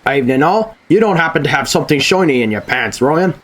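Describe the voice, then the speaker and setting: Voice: mid-range voice, animated, fast, close to an everyday voice. An actor playing a cheeky, teasing research assistant henchman in an audio drama.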